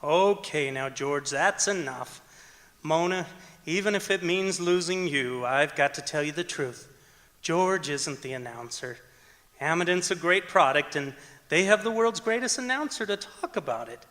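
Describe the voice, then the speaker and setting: A breezy, hammy announcer pal in a vintage radio comedy, played by a man. His voice is fairly high and forceful, carrying through an auditorium with long reverb. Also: lively intonation, measured pace. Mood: grandly confessing. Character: brash, self-promoting radio announcer friend. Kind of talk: old-time radio comedy acting on stage. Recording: echoey auditorium stage recording.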